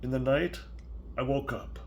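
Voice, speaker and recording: Slow-paced older man reading to a child. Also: medium pitch, slight background noise. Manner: gentle, conversational, explaining simply to a child. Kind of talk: casual read-aloud storytelling for a child.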